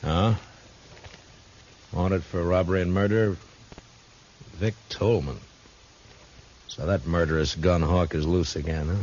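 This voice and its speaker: An actor playing a firm, plain-spoken marshal in a 1950s radio western, stern and probing. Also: male voice, sits low, steady tone, measured pace, pauses often, a little mellow. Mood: wry, grave. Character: firm, plain-spoken frontier marshal, tough but fair. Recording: dull, hissy 1950s radio recording.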